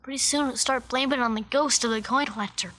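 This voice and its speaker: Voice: high-pitched, fast talker, sharp tone. A performer playing an earnest, reassuring child friend in a children's video drama.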